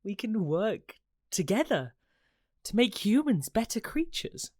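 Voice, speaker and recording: Female actor as immortal idealist, unhurried, earnest, pleading, challenging, radio drama. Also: mid-range voice, very animated, darkish tone. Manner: questioning, pleading, emotionally invested. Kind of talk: radio play acting.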